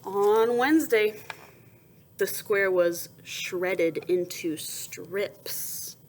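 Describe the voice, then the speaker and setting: A woman reading a picture book aloud to young children like a teacher, expressively, with questions and asides. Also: mid-range voice. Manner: expressive storytelling, pausing to ask questions and answer softly.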